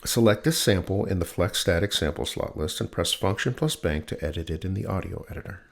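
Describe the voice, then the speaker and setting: A man reading scripted technical text in a soothing, measured voice with deliberate pauses, as the host of a sleep-aid reading. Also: deep voice, lively intonation, gravelly.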